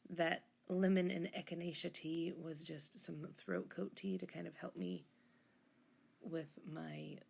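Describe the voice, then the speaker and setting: A woman hosting a journaling vlog in a casual voice-over, hoarse and froggy from a recent illness, rambling and correcting herself with half-whispered asides. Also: lowish voice, a little hiss or hum. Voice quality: husky, rough. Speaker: journaling channel host.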